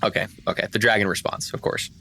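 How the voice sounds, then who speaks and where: A man's voice: on the low side, level tone, fast talker, creaky, bright, forceful tone, slight background noise. A man running a tabletop roleplaying game as game master, switching between casual table talk and narration.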